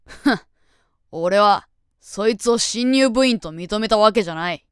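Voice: mid-range voice, animated, unhurried, fairly bright tone, smooth, no room sound. A performer acting a haughty, hot-headed, unfriendly teenage boy with a curt, scornful manner, in an amateur voice drama. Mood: cold, dismissive. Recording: dry, faint music underneath.

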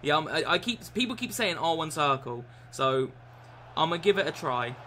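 A young man with a croaky, bright, forceful voice, talking over his gameplay and answering chat. His pitch sits a touch high, and a faint hum of room ambience lies under him. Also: lively intonation, normal speed. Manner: swears often, shouts and laughs at the game. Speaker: gaming streamer. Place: small room indoors.

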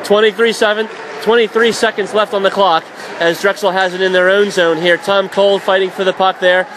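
A young man calls hockey play-by-play for college radio from a press box. His voice sits high and carries over loud arena noise. He reels off passes and shots quickly and lifts into shouts when goals go in. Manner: rapid excited calls rising to shouts on goals. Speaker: play-by-play announcer.

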